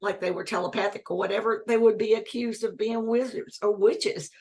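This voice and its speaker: A woman's voice: medium pitch, fairly even intonation, brisk, a little mellow. A woman in her sixties, a guest in an interview, speaking earnestly and emphatically and repeating key phrases. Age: 60s. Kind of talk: conversational interview.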